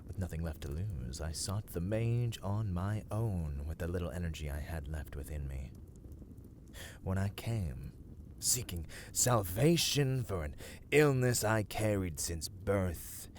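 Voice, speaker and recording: Man acting a deep, slow, brooding vampire. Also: animated, darkish tone, slight background noise. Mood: somber, regretful. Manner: brooding, theatrical, often dropping into breathy whisper. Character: brooding, world-weary male vampire, cursed castle master. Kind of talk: ASMR roleplay acting, slow dark monologue.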